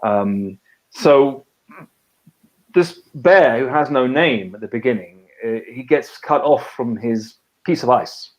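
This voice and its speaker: A man's voice: mid-range voice, expressive, takes it slowly, low-quality recording. A man, an author and conservation biologist from a British and French background, talks casually on a live video call, with many fillers and restarts. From half British, half French.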